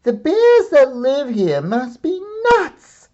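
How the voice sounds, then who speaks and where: A man's voice: extremely high pitch, huge pitch swings, takes it slowly, darkish tone, dull audio, pitched above the performer's own voice, heavily acted. A man voicing an exasperated, bratty little girl in a whiny storybook read-aloud. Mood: exhausted, exasperated. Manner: whiny, groaning.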